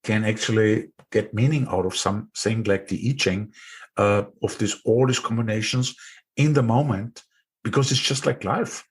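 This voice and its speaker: A man's voice: fairly low pitch, moderate pace, slightly rough. A man with an Austrian accent hosting a podcast, eager and prone to repeating his phrases. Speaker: podcast host. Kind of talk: casual interview talk.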